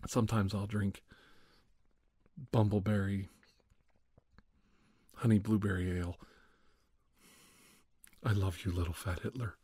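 A man in his forties performing a comedic roleplay monologue to camera in a halting, defensive, conspiratorial manner. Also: fairly low pitch, flat delivery, a little mellow, breathy edge, no echo, clean studio sound. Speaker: video host.

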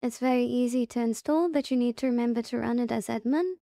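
A woman hosting a casual software tutorial, explaining it step by step in a halting way. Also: on the high side, creaky, no room sound, studio quality. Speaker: tutorial host.

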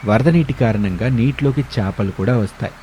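A man narrating an animated moral tale as a scripted storyteller. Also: mid-range voice, moderate pace, soft background music.

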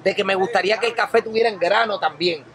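A man's voice: high-pitched, fast, a crowd in the background. A middle-aged man hosting a vlog in a small coffee shop, talking casually and emphatically close to the camera. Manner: animated, emphatic, gesturing. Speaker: vlog host. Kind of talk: casual chat. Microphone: camera held close at arm's length.

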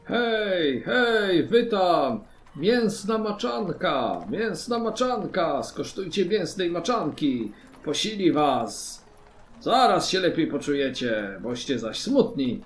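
A man voicing a fat street food vendor in a fantasy tabletop game, hawking loudly. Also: fairly high pitch, big pitch swings, slow pace, reverberant, slight background noise, voice pushed up. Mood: pushy, inviting. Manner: loud hawking calls.

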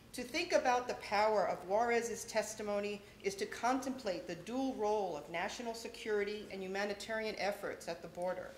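A woman's voice: medium pitch, a little hiss or hum. Middle-aged woman reading a formal welcome. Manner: measured, formal reading from notes. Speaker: event organizer introducing speakers. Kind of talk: prepared welcome speech. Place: large lecture hall, at a podium. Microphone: podium microphone. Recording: echoey hall.

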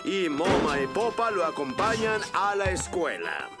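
Playful kids cartoon narrator, high swooping voice, loud music behind. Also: male voice, wildly swooping pitch, a little slow, exaggerated voice. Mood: playful storytelling. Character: playful storyteller of a children's cartoon. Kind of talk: animated storybook narration for children.

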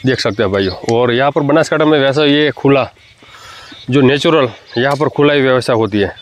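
A man hosting a farm video, eagerly asking a farmer questions outdoors on a dairy farm and murmuring quick agreement as he listens. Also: mid-range voice, a little hiss or hum. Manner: eager, repeats "ji ji ji" softly while listening. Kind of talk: on-site interview about dairy animals.

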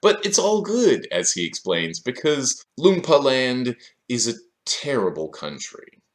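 Sardonic man with very lively intonation. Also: medium pitch, measured pace. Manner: sardonic, rhetorical questions. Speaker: video essayist. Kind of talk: scripted video essay commentary, with quotes read aloud. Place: small room indoors.

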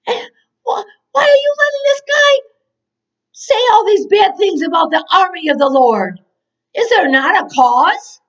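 A woman voicing a bold, indignant young shepherd boy, eager and insistent, stammering with excitement. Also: sits high, measured pace, voice pushed up, full character voice. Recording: dull, distant-mic recording.

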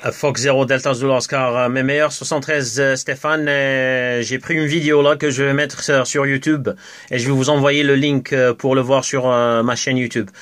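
A man making casual amateur radio contact as an operator, reading out call signs, with halting phrasing and repeats. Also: mid-range voice, level tone, a bit bright. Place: indoors at a radio desk.

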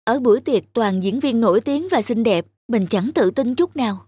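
A woman voicing an insecure young wife in an animated drama, plaintive and expressive. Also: on the high side, moderate pace, slightly rough. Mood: unsure. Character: insecure young wife anxious to look prettier. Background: background music.

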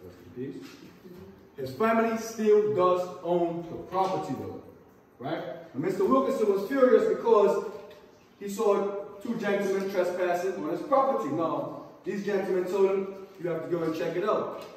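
Guy tour guide talking to a group in a cave, high voice, super echoey, short punchy phrases. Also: moderate pace. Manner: emphatic short phrases with check-in tags. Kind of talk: storytelling tour talk to a group. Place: inside a large cave. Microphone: distant camera microphone. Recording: echoey cave, distant camera mic.

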